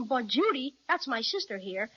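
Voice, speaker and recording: An eager young boy, played by a woman, very high and bright. He chatters boldly with lively swings of pitch, in children's radio serial style, on a slightly dull recording. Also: a little slow, breathy edge, full character voice. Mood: puzzled, excited.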